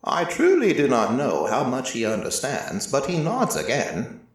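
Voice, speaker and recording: A man narrating a first-person story in a measured, scripted storytelling style. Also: medium pitch, huge pitch swings. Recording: roomy, echoing voice recording.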